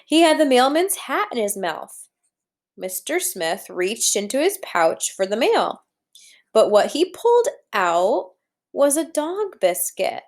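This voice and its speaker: A woman's voice: fairly high pitch, sing-song, takes it slowly. Woman reading a story aloud to children. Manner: instructive, addressing children directly, posing questions. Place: indoors at a computer.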